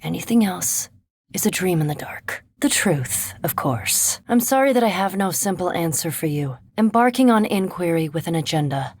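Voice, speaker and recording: A woman voicing a centuries-old vampire scholar in a video game, speaking in an erudite, measured, formal way with composed curiosity and dry superiority. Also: lowish voice, expressive, a little mellow, husky. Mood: scholarly, measured. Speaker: animancy researcher and ship companion in a fantasy video game. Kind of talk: video game character dialogue.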